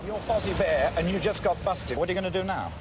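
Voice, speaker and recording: Fast-talking male interviewer. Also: sits high, background noise. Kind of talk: on-location interview.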